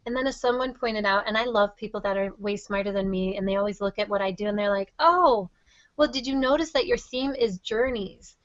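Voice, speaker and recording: A woman speaks as an interview guest in an enthusiastic, effusive way. Her pitch is average for a woman. The audio is dry and a little dull, like a lo-fi online call. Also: brisk, a bit bright, no room sound. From Wisconsin, United States. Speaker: interview guest, teacher and reading project founder. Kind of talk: conversational interview answers.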